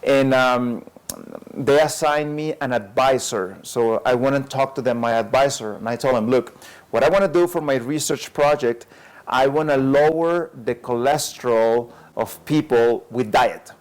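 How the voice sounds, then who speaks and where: A man who is a lifestyle medicine doctor, telling his story as an interview guest in a relaxed way, with many fillers and frequent chuckles. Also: mid-range voice, normal speed.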